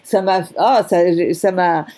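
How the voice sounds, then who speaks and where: A woman interviewing an author over a video call, chatty and quick to agree. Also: fairly low pitch. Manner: warm-hearted, chatty, lots of quick agreements.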